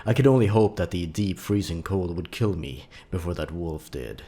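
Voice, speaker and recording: A man reading scripted horror fiction as a dramatic narrator, with measured, deliberate phrasing. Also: sits low, mellow, husky.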